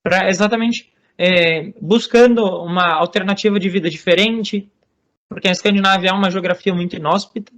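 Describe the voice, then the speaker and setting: Brazilian man, high voice, hesitant measured guest explainer. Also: fairly even intonation, a bit bright. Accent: Brazilian Portuguese. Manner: measured, many hesitations and restarts. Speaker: author and guest on Norse religion. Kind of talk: explanatory interview talk.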